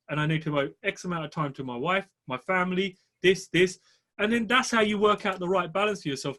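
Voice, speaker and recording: A man's voice: on the high side, fairly bright tone. A man in his thirties co-hosting a podcast, giving blunt motivational advice in casual chat with the odd swear word. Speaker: podcast co-host. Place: studio room with a notepad.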